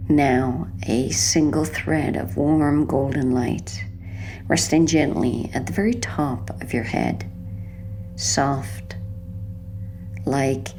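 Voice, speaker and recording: A woman with a low, gravelly-edged voice leads a guided sleep relaxation. Her delivery is gentle and hushed, with soft creak at the ends of phrases. There is faint noise behind her. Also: rough recording. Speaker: hypnotherapy narrator.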